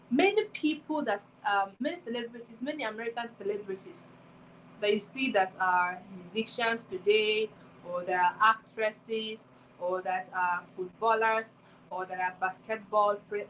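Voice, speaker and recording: A woman's voice: mid-range voice, bright, forceful tone, a little hiss or hum, rough recording. A woman in her twenties hosts a solo motivational talk, speaking reflectively and returning again and again to rhetorical questions. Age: 20s. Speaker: podcast host.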